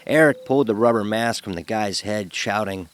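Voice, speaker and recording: A man telling a first-person horror story in a dramatic, expressive narrating style. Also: lowish voice, moderate pace, slight background noise. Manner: expressive, acts out dialogue.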